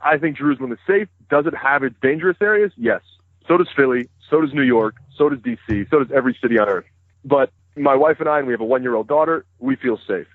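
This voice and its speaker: A man in his thirties whose first language is Hebrew talks quickly and matter-of-factly. His voice comes through a dry, tinny phone line. It has a husky edge. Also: medium pitch, no echo. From United States. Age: 30s. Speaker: interview guest, news correspondent. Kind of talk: phone interview conversation.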